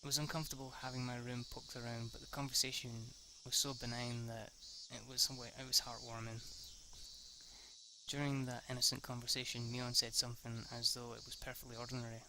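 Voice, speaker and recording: A man's voice: mid-range voice, flat delivery, noisy background. A young man reading a visual novel aloud in a casual let's-read, stumbling and restarting lines as he goes. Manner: reads haltingly, restarts lines and swears at slips.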